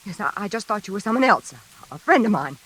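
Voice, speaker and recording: A woman playing a nervous, frightened elevator passenger in a classic radio suspense drama, speaking in halting, pleading phrases. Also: medium pitch, big pitch swings, fairly bright tone, husky. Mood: anxious. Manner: halting, anxious, pleading, repeating herself. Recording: hissy, noisy old radio recording.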